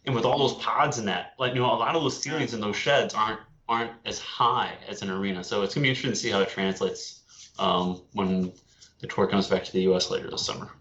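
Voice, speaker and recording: A man with a lowish voice chats casually on a podcast, thinking aloud and repeating himself. His audio sounds roomy and echoey. It is also dull and lo-fi. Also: steady tone, quick pace, fairly bright tone. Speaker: podcast co-host. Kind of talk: casual chat.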